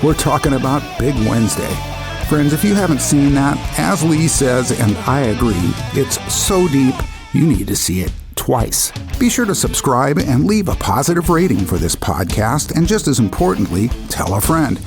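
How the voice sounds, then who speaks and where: A male podcast host with a lively, swooping delivery and a creaky edge to his voice. He talks casually and conversationally, with guitar music clearly heard behind him. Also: mid-range voice, moderate pace. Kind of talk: casual conversational interview hosting.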